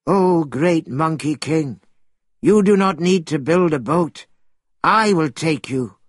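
An enormous old-tale turtle, voiced by a male storyteller, solemn and grateful. He speaks very slowly in a raised, husky voice, earnest and pleading. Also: on the high side, lively intonation, no echo, clean studio sound, pitched above the performer's own voice, heavily acted. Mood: offering help.

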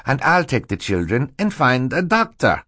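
A man's voice: medium pitch, wildly swooping pitch, normal speed, a bit bright, no room sound, studio quality, pitched above the performer's own voice. A man voicing a slippery, two-faced storybook villain. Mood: smooth, oily. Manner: hissing menace switching to fluttery, falsely apologetic politeness. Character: scheming villain posing as meek, sorrowful assistant. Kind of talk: audiobook character acting.

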